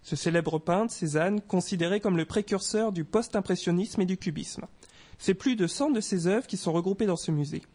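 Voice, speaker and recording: A man with a notably high voice reads a European news roundup in a local radio studio. He keeps a scripted, steady news delivery and drops in light self-mocking asides. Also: husky. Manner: reads briskly, adds self-mocking apologies about pronunciation. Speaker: radio news segment presenter.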